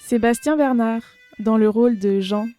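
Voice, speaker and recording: A woman announces scripted credits. Her voice is fairly high and mellow. Light music plays faintly behind her. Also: normal speed.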